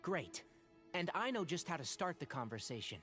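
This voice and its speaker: An actor playing a gentle, thoughtful young scholar in a video game, explaining things in an earnest, articulate and sensible way. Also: male voice, a little high, very animated, normal speed, faint music behind. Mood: confident.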